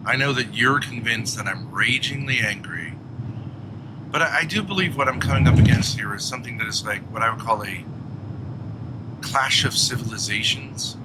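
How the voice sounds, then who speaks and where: A middle-aged man argues bluntly inside a car on a livestream. He sounds exasperated and sardonic. Loud road and vehicle noise surrounds his voice. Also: mid-range voice, expressive, moderate pace. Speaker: livestreamer, driver. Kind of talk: argumentative talk on a livestream.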